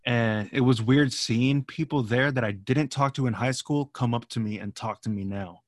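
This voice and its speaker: A man's voice: mid-range voice, normal speed, dry recording, clean studio sound. Casual, slangy man in his late twenties. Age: late 20s. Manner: slangy, informal, self-deprecating. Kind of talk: casual chat, swearing freely.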